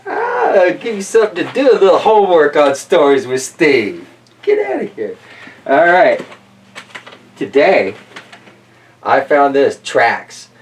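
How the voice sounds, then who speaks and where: A man hosting a casual, chatty read-aloud of picture books, playful and folksy, with rambling asides. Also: a little high. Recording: roomy distant mic with slight noise.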